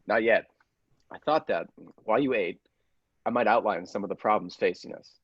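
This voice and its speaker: A man playing a grave, persuasive scientist's assistant in a radio play, deliberate and theatrical, with secretive zeal. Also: lowish voice, slightly rough, no echo, lo-fi sound. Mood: businesslike, explanatory. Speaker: podcast actor.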